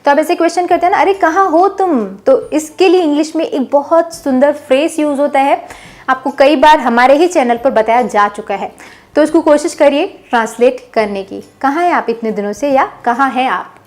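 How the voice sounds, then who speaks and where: Lady english teacher, high voice, says example sentences real slow for learners. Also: darkish tone. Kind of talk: bilingual language lesson.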